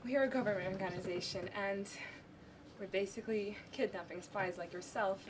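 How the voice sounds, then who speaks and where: A teenage female student acting a brisk, defensive nurse who plots an escape in an amateur stage play. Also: mid-range voice, lightly acted. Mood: urgent, resolute. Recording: noisy live stage recording with clicks.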